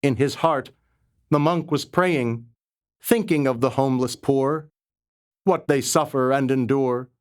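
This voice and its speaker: Slow, solemn man reciting poetry. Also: mid-range voice, clean tone. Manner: solemn, reverent, deliberate phrasing with pauses. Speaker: poetry reader. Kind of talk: dramatic, measured poetry recitation.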